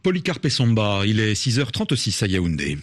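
A man reading the morning news bulletin as a radio anchor, in a measured, formal way. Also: fairly low pitch, very animated, no room sound, studio quality, faint music behind. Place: radio studio.

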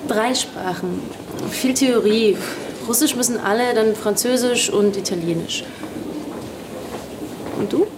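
Young student, curt replies, slightly distant, noisy backdrop. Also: female voice, a little high, slow, long pauses. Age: young adult. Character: young female linguistics student, cool, terse.

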